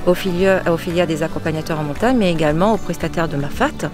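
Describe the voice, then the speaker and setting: Halting woman explaining over music. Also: lowish voice. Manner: halting, self-correcting. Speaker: tourism office representative. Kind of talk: press interview explanation.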